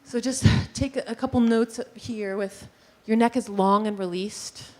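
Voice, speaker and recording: A woman giving a lively presentation on posture and breathing to a live audience in a meeting room, guiding them through movements. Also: a little high, measured pace, rough recording. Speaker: posture and breathing teacher giving a workplace talk.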